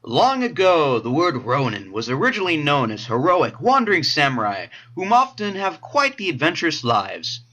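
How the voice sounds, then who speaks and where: A man's voice: fairly high pitch, huge pitch swings, moderate pace, hardly any pauses, pushed and bright, full character voice. A man playing a cocky, sarcastic teenage boy who taunts people in an amateur anime audio drama. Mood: mocking.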